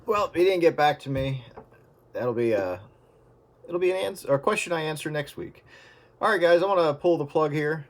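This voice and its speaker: A man's voice: on the high side, animated, normal speed. A man hosting a casual radio chat in an informal, conversational style. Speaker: host recording a radio round table. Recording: radio transmission with clear static and clicks.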